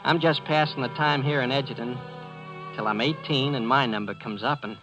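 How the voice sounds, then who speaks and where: A man's voice: mid-range voice, moderate pace, lo-fi sound, over music, natural voice. An American man playing an earnest, plain-spoken teenage boy in a 1940s radio drama. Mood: easygoing narration. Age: teenager, about seventeen. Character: earnest, folksy teenage boy trapping his scheming stepfather. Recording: band-limited vintage 1940s radio recording.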